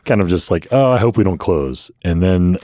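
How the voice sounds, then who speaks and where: Deep-voiced easygoing man, dry close sound. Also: expressive, normal speed, dark tone, no room sound. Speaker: brewery owner, podcast guest. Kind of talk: casual podcast conversation.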